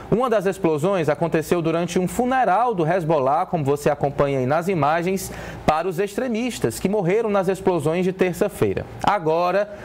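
A man's voice: a little high, darkish tone, slight background noise. A man in his thirties with a Brazilian accent presenting live news from a studio, explaining the story as he goes. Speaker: news anchor. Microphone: lapel mic.

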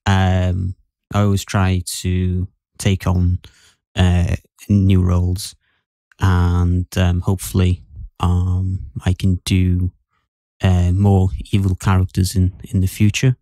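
A man in a casual podcast discussion, speaking in a hesitant, halting way as he talks about his acting. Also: low-pitched, monotone, warm tone, dry recording, clean studio sound. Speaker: podcast guest actor.

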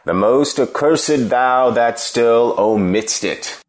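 An actor playing a churlish cynic philosopher in a Shakespeare reading, answering with biting contempt. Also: male voice, medium pitch, slow pace, natural voice. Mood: scornful, biting. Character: Apemantus, scornful churlish cynic philosopher, male. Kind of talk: unrehearsed Shakespeare play reading.

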